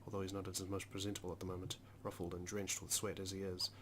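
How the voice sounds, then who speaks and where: A man's voice: low voice, monotone, fast talker, background noise. A man narrating a visual novel as he plays, reading the story aloud with casual asides.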